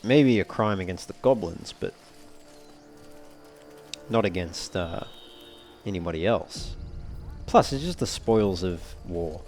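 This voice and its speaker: A man's voice: low-pitched, lively intonation, takes it slowly, long pauses, a little mellow. A man giving casual gameplay commentary and reading game dialogue aloud over game music. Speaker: let's play host. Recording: game music faintly behind the voice. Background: video game music.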